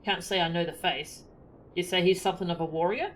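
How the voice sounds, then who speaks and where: A woman's voice: on the low side, fairly even intonation, brisk, slight background noise, natural voice. A woman voicing a chatty fantasy game villager, musing and colloquial.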